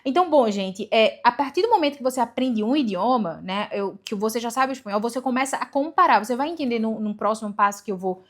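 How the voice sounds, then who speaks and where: A woman's voice: fairly high pitch, animated, fast talker, hardly any pauses. A young woman, giving casual advice to camera in a chatty way, with rhetorical questions and self-corrections. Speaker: vlogger giving language-learning tips.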